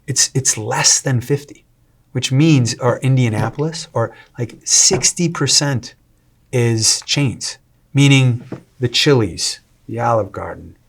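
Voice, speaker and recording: A man, a restaurant owner talking as an interview guest, energetic and salesy with many fillers and restarts. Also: mid-range voice, takes it slowly, creaky, husky. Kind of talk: casual interview talk.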